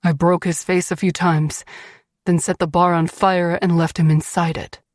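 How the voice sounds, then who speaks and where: Woman voicing a blunt, brooding, lower-pitched husband. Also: level tone, moderate pace, no room sound, studio quality, natural voice. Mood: grim. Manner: blunt, commanding. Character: brooding, protective, dangerous husband, intense and possessive.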